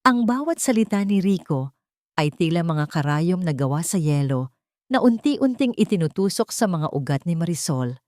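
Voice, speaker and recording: A woman's voice: fairly low pitch, very animated, moderate pace, a little mellow, no echo, clean studio sound. A woman narrating a scripted dramatic story in a solemn, melodramatic manner.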